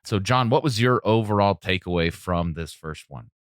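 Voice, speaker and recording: A man's voice: sits low, animated, normal speed, no echo, studio quality. A man hosting a casual podcast discussion, speaking conversationally. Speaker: podcast host.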